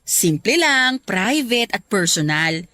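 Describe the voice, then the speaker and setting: A woman's voice: medium pitch, sing-song, slow, bright, forceful tone, no room sound, studio quality, full character voice. A Filipino woman playing a chatty, clingy young bride-to-be in a Tagalog radio romance drama, gushing and teasing. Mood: gushing, dreamy.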